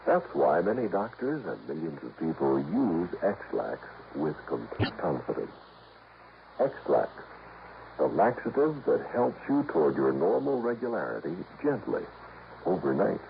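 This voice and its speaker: A man reading a scripted 1950s radio commercial as an announcer, measured and polished. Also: deep voice, sing-song, gravelly. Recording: dull, noisy old radio recording.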